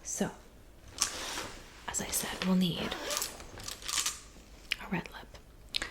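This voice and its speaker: A woman playing a kindly, doting witch in an ASMR roleplay, speaking in a soft whisper with gentle, reassuring attention. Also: drawn-out words. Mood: soothing, reassuring. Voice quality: soft whisper with some creaky murmur. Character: kindly cabin witch mixing potions, doting and soothing. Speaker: ASMR performer.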